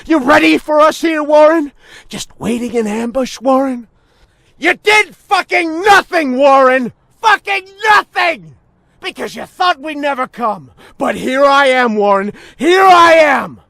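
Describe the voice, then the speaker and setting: A man playing a menacing, unhinged veteran policeman in a video game cutscene, mocking and theatrical, swinging from soft talk to shouting. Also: extremely high pitch, lively intonation, unhurried, gravelly, no room sound, exaggerated voice. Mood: furious, shouting.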